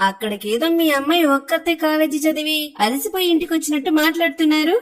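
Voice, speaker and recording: A woman voicing a mother and wife who can be caring or sharp-tongued, in a cartoon story. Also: sits high, fast talker, natural voice. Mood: sarcastic. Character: sarcastic, scolding village mother and wife. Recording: music behind the voice. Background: music.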